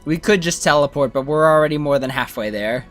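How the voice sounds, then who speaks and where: A man's voice: a little high, fairly quick, a bit bright, faint music behind. A man giving casual, informal gameplay commentary as a streamer, in a rambling way. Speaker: game streamer.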